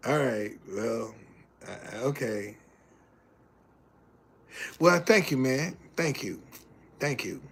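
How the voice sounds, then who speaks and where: A man's voice: medium pitch, expressive, slow, creaky, pauses often, a bit bright, husky. A man in his seventies hosting a livestream, preaching about success and reading out viewers' messages in a folksy way. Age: 70s. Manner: folksy, preacherly, reads chat messages aloud. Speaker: livestream host. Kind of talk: casual livestream talk and preaching.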